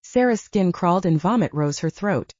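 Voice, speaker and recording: A woman's voice: fairly low pitch, lively intonation, moderate pace, darkish tone, no echo. A woman working as an audiobook narrator, reading fiction in a dramatic, scripted style. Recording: dull, band-limited audio.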